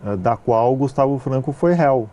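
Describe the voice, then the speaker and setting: A man with a gaúcho accent speaks in a warm, mellow voice at a middle pitch. He answers questions as a guest, explaining at length and pausing often on filler sounds. His delivery is steady and measured. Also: muffled recording. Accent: gaúcho Brazilian Portuguese. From southern Brazil (Rio Grande do Sul). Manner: measured, hesitant with frequent fillers. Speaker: film producer, interview guest.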